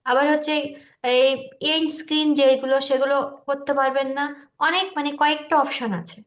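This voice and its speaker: High-voiced woman on muffled call. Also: level tone, fairly quick. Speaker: online class participant. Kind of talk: casual explanatory discussion. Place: online video call.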